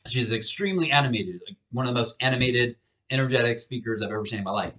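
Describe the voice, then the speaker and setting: A man's voice: mid-range voice, quick pace, pushed and bright. A man in his thirties giving a recorded lecture from a small home office on a webcam, talking casually with frequent restarts. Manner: casual and conversational, with frequent restarts and repeated words. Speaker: professor.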